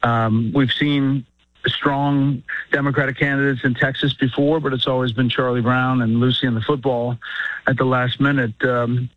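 A man appearing as a guest news anchor, giving measured political analysis and thinking aloud with many fillers. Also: medium pitch, flat delivery, no echo, muffled recording. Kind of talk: political analysis on talk radio.

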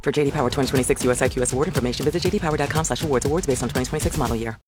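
A woman reading a scripted radio ad in a punchy, crisp delivery. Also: very deep voice, rapid-fire, music in the background. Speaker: ad voice-over.

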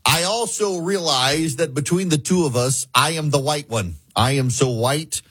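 A man's voice: fairly high pitch, moderate pace, fairly bright tone, breathy edge, dry recording. A man from the American South hosting an opinionated political talk radio show, sarcastic and mocking.

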